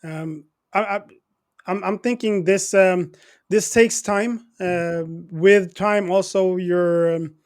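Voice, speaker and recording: A man hosting an interview programme, speaking haltingly and often repeating words as he addresses his guest. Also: high voice, steady tone, a little mellow.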